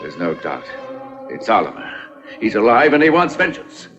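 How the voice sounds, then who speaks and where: A man's voice: on the low side, huge pitch swings, normal speed, dull audio, over music. An actor dubbing a scheming, vengeful nobleman in a period film, theatrical, formal and commanding. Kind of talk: dubbed period film acting.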